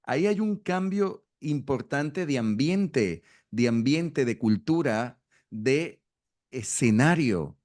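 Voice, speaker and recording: A middle-aged man from Venezuela talks at a mid pitch. He explains an idea slowly and often restarts, repeating words as he searches for the next phrase. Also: animated, darkish tone. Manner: hesitant, repeats words while thinking. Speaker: astrology school host. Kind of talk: conversational explanation.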